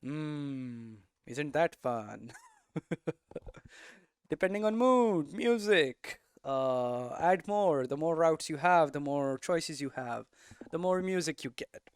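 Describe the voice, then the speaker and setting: A man giving an informal explanatory lesson as a presenter, posing questions and answering them himself in halting, emphatic phrases. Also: a little high, lively intonation, clear, resonant tone, no room sound. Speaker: presenter of a game development explainer series.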